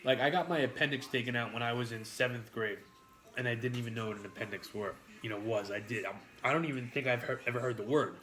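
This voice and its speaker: A man's voice: mid-range voice, fast, a bit bright. A man with a New York accent eating on a live stream, rambling to his chat with frequent swearing and jokes. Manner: rambling, profane, jokey with chat.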